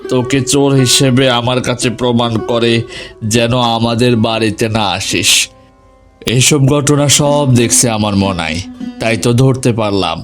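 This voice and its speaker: A man's voice: medium pitch, moderate pace, soft background music, close to an everyday voice. A man with a Bangladeshi Bengali accent voicing a hot-tempered but loyal village husband and elder brother in a melodramatic cartoon. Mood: angry, stern.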